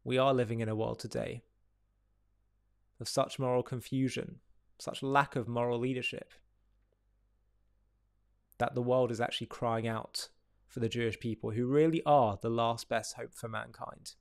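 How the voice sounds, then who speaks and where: Male presenter, mid-to-low voice, deliberate reflective delivery, dry recording. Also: fairly even intonation, creaky, clear, resonant tone, dry recording. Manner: measured, deliberate, phrase by phrase. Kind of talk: reflective commentary to camera.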